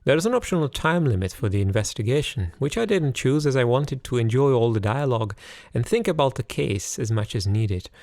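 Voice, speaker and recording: A man's voice: medium pitch, lively intonation, brisk, a little mellow, dry recording, studio quality. A man narrating a scripted game review as its host.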